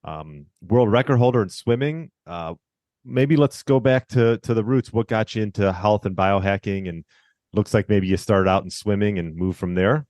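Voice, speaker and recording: A man hosting a podcast, welcoming his guest and asking questions in a friendly, relaxed way. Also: lowish voice, normal speed, no echo. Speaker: podcast host. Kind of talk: casual interview hosting.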